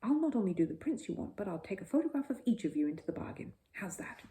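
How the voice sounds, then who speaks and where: A polite, curious storybook shopkeeper, a man voiced by a woman. He speaks in a wondering, slightly hesitant way, with pitch that climbs and dips freely in a mellow tone. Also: sing-song, fast, slight background noise, lightly acted. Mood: impressed, obliging. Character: polite, puzzled male shopkeeper, curious and obliging.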